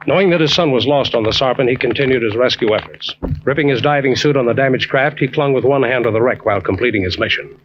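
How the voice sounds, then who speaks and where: An actor playing a formal official reading a naval citation in a declamatory old-time radio style. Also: male voice, medium pitch, lightly acted. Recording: dull, faintly noisy old radio recording.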